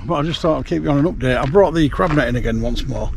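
A man's voice: a little high, expressive, fairly quick, creaky, background noise. A middle-aged man gives a rambling, casual fishing update to camera, outdoors at night. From England. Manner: rambling, chatty, with many fillers. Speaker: fishing vlogger.

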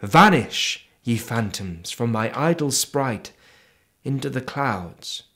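A man reads verse aloud in a theatrical, expressive manner. His voice sits at an average male pitch. He takes his time, moving through the lines at a slow, measured pace. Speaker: poetry reader. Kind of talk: dramatic poetry recitation.

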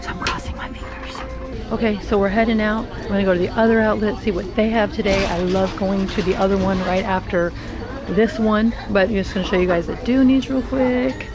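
A woman's voice: medium pitch, a little fast. A woman filming herself in a big indoor store on a body camera, eagerly gasping over finds and reading out prices. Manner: excited, gasps at finds, reads out prices. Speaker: vlogger showing outlet deals. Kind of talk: casual walk-through shopping vlog. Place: large indoor retail store. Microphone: body-worn action camera. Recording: music playing in the store behind her. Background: store music.

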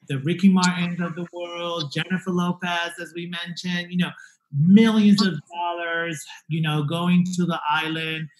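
A man in his forties from Puerto Rico hosting a casual interview over a video call, talking with an animated, expressive manner from his living room into a desk mic. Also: high-pitched, poor audio. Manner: animated, gestures with hands while talking. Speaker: talk show host. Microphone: desk podcast mic on video call.

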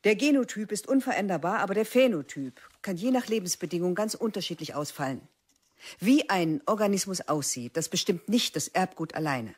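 A woman's voice: fairly low pitch, lively intonation, brisk, darkish tone, breathy edge. An actress playing a stern middle-aged female biology teacher who lectures curtly and cuts her students down. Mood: cold. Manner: curt, cutting, lecturing. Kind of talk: radio drama acting.

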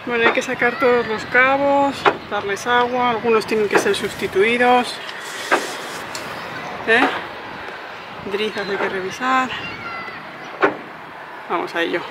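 A woman on a sailboat narrating in a brisk, matter-of-fact way as she takes down the sails. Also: a little high, heavy background noise. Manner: matter-of-fact, brisk, talks to her dog. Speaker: sailing vlogger. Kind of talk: casual narration while working. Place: outdoors on a sailboat.

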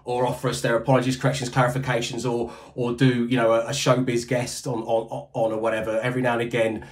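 A British man in his forties chats loosely on a headset from a room at home. He rambles and restarts, sometimes dropping in a wry joke at his own expense. His voice sits at an ordinary pitch. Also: monotone, fast. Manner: rambling, self-deprecating, many restarts. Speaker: radio breakfast show host. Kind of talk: casual interview chat. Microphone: headset on video call.

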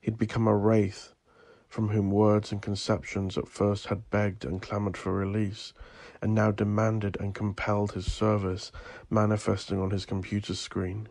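A man narrating scripted horror fiction in a theatrical, ominous manner, deliberately drawing out his phrases. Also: on the low side, level tone, darkish tone, no echo. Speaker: audio drama narrator.

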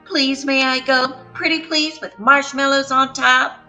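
A woman voicing an eager, spirited young girl in a storybook, with expressive delivery. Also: sits high, a little slow, faint music behind, pitched above the performer's own voice, heavily acted. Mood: excited, pleading. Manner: pleading, expressive.

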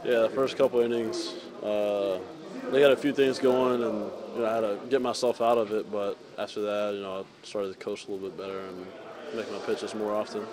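A young man, a baseball pitcher, giving casual answers into reporters' handheld mics in a locker room. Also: little pitch movement, a little fast, crowd noise. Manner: casual, filler-heavy answers.

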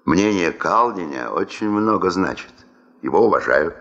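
An actor playing an authoritative, sober veteran boss in a 1970s Soviet radio play, speaking in a terse, blunt, commanding way. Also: male voice, low-pitched, lively intonation, normal speed, a bit bright, highs cut off. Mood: blunt, knowing.

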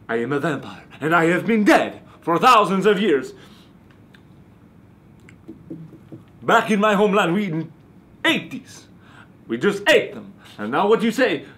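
Hammy, high-pitched Transylvanian vampire. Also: male voice, a bit bright. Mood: pompous. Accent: put-on Transylvanian. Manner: hammy, ranting, profane. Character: theatrical, cranky, ancient male vampire from Transylvania hosting Halloween show. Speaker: host. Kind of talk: comic in-character hosting.

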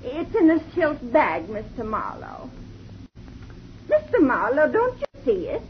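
A woman's voice: sits high, huge pitch swings, a little slow, exaggerated voice. A tearful, distraught young woman. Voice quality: tearful, pleading. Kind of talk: 1950s radio drama acting. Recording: dull noisy old radio recording.

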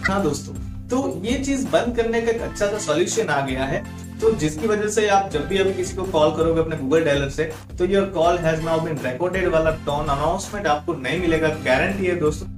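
A man's voice: a little high, normal speed. Young man presenting over music. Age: young adult. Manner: repeatedly addresses viewers as friends, mixes in English words. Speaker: tech tutorial YouTube host. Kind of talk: tutorial presentation to camera and screen walkthrough. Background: background music.

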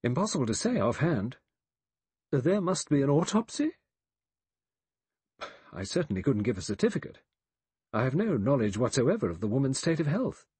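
A man's voice: medium pitch, sing-song, moderate pace, long pauses, darkish tone, slightly rough, no room sound, voice pushed up. A man voicing a cautious, clinical male doctor in an audiobook, dry, clipped and formal. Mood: dismissive.

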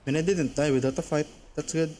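A man's voice: a little high, steady tone, a little fast, warm tone, slight background noise. A man from the Philippines with a Filipino accent, chatting casually and thinking aloud as he streams a game. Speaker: live streamer.

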